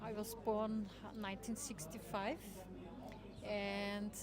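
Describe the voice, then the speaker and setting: Slow, hesitant woman in her sixties. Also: medium pitch, little pitch movement. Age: 60s. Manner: slow, thoughtful, hesitant with fillers. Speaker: festival participant, parent activist. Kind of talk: street interview. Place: outdoor festival square. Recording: crowd noise outdoors.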